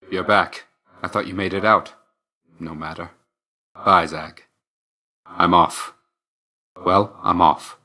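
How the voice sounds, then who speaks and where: A man's voice: low-pitched, sharp tone, heavily acted. A man voicing a stern, brooding young god of death in a video game, speaking in a curt, restrained, solemn way with dry understatement. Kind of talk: scripted video game voice lines.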